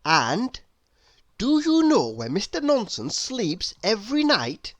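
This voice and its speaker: A woman's voice: mid-range voice, huge pitch swings, slow, muffled recording. A woman reading a children's story aloud expressively, putting questions to the listener. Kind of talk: children's read-aloud narration.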